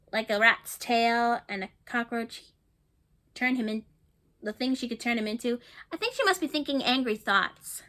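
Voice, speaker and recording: Woman storyteller for kids, high and bright, chatty asides, asks listeners questions. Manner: chatty, warm asides to young listeners, often repeating herself. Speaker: storyteller reading a picture book aloud. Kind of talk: children's read-aloud with questions to listeners.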